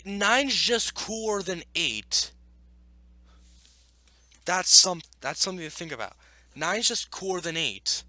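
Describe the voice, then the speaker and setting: A man with a high-pitched, bright voice hosts a casual reaction video. His delivery swings up and down a lot as he argues his points emphatically and circles back to repeat them. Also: expressive, slow, pauses often, no echo. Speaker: video host reacting to an article. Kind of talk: opinionated casual commentary with read-aloud passages.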